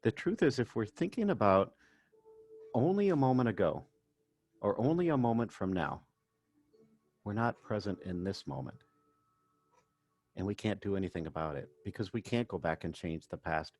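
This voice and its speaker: A man working as a meditation coach, narrating a guided session in gentle, measured phrases with pauses. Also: lowish voice. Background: background music.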